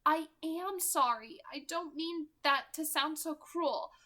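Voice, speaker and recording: A woman's voice: sits high, takes it slowly, bright, forceful tone, voice pushed up, full character voice. A woman voicing a proud, wounded young immortal woman in a haughty, emphatic way for a story reading. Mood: anguished, regretful.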